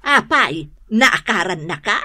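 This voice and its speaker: A woman's voice: medium pitch, very animated, slow, bright, forceful tone, dry recording, clean studio sound, full character voice. A woman playing a defensive, querulous village neighbor in a comedic Ilocano radio drama, full of exclamations. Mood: puzzled.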